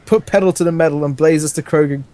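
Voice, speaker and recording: A man's voice: on the high side, steady tone, fast talker, warm tone, lightly acted. A man voicing a gallant, commanding giant muscular cat hero in a fan fiction reading, with grandiose, stilted broken-English declarations. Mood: grandly earnest.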